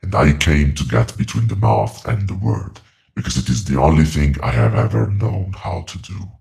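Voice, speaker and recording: An actor playing an ancient otherworldly being in a horror audio drama, solemn and coldly knowing, speaking in slow, deliberate, ominous pronouncements. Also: male voice, animated, a little mellow, exaggerated voice. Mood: solemn, confessional. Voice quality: very deep, gravelly creaking voice.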